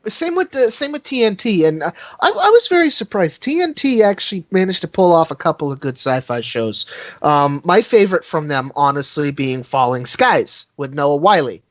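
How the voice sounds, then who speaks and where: A podcast co-host chatting casually in an animated, exclamatory way. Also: mid-range voice, normal speed, dry recording. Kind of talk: casual chat.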